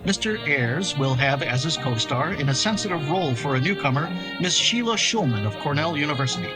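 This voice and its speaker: A man's voice: fairly high pitch, expressive, brisk, lightly acted. A man playing a polished, formal 1950s radio network announcer, reading scripted promotional copy. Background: music.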